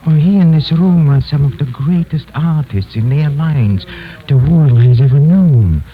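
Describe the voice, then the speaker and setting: An actor playing a nervous, guilty murderer on the run, stammering and repeating himself in a 1940s radio thriller. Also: male voice, a little high, animated, measured pace. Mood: bitter, proud. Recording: noisy, dull 1940s radio recording.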